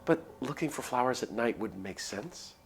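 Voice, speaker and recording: A man's voice: mid-range voice, lively intonation, moderate pace, husky. A man reading a visual novel aloud on a live stream, moving between dramatic narration and casual asides.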